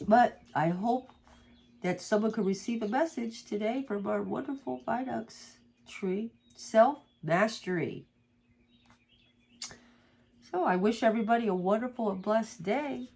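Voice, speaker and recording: Congested, husky-voiced woman reading aloud. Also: on the high side, measured pace, a little mellow. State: congested from allergies. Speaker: oracle card reader. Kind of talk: reading aloud from a guidebook with asides.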